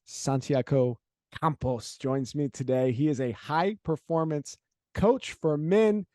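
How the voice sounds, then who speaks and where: A man's voice: fairly high pitch, slow, a little mellow, no echo, studio quality. A man hosting a podcast, chatting casually and energetically, swearing now and then and laughing often. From Louisiana, USA. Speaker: podcast host. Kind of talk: casual interview chat.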